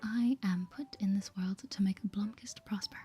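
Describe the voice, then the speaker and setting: A woman's voice: medium pitch, fairly even intonation, moderate pace, warm tone. Woman as a whispery, self-important newspaperman. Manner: grandiose, smooth-talking. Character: proud, flattering small-town newspaperman.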